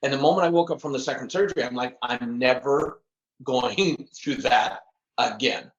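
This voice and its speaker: A man's voice: mid-range voice, moderate pace, highs cut off. A middle-aged man speaking earnestly as a podcast guest, often repeating words and laughing at himself. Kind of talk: casual interview chat.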